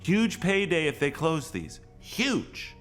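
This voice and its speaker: An actor playing a neurotic, self-dramatising middle-aged boss in a comedic audio drama, rambling and theatrical. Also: male voice, on the high side, very animated, slow pace, fairly bright tone, faint music behind, heavily acted. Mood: sheepish, rambling.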